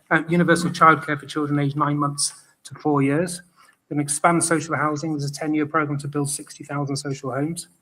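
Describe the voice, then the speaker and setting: A man at a hustings, briskly listing his party's policies. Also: fairly high pitch, a bit bright, low-quality recording. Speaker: election candidate. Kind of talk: hustings speech.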